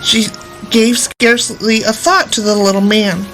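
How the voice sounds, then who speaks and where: A woman's voice: mid-range voice, steady tone, a little slow, a bit bright. A woman storyteller reading a fairy tale aloud expressively. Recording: music behind the voice. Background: background music.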